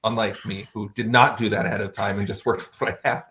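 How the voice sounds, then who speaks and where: A man's voice: lowish voice, steady tone, quick pace, pushed and bright. A man chatting casually as an interview guest, explaining things in an informal, conversational way. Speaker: interview guest, fantasy author.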